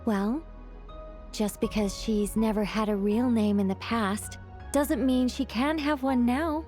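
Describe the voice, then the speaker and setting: Woman voicing a wise calm guide, somewhat high voice, reflective, gently probing, game dialogue. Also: measured pace, faint music behind, close to an everyday voice. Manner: thoughtful, reflective, gently guiding. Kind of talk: game cutscene voice acting.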